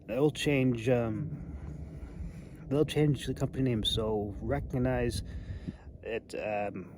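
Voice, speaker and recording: A man's voice: mid-range voice, fairly even intonation, slow pace. A man in his thirties casually reviewing a product outdoors, wry and hesitant, often trailing off mid-thought. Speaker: amateur knife reviewer. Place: outdoors in a snowy open river valley. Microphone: phone at arm's length. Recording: outdoor phone recording with background noise.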